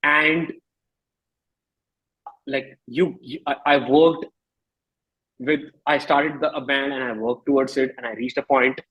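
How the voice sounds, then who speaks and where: Husky Indian-accented man in candid conversation. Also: medium pitch, steady tone, a bit bright, rough recording. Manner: emphatic, halting, repeats phrases. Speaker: musician guest.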